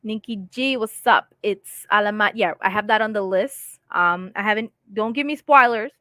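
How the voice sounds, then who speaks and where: A woman's voice: mid-range voice, normal speed, bright, forceful tone, no echo, clean studio sound. A woman hosting a casual live stream from home, chatting and reading viewer comments aloud with frequent laughs. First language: English.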